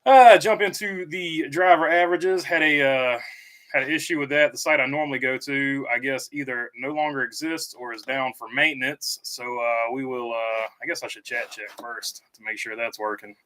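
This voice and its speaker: Male streamer, bright tone, halting and casual, reads viewer comments aloud. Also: medium pitch, fairly even intonation. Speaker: livestream host. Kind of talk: casual live stream chat.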